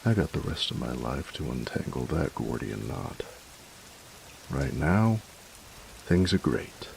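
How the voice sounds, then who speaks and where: A male voice actor as a young tough-guy boyfriend in an intimate audio roleplay, with an extremely low, slow-paced voice that moves expressively up and down. He teases in casual slang and turns halting and affectionate when he gets sincere. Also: sing-song, vocal fry, a little mellow, a little hiss or hum, full character voice. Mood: content, teasing. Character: gruff, teasing young bully turned affectionate boyfriend.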